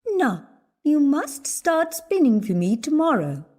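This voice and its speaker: A regal storybook queen, acted out by a man, gracious yet commanding. The voice is high and mellow, and each line comes slowly and deliberately. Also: huge pitch swings, heavily acted.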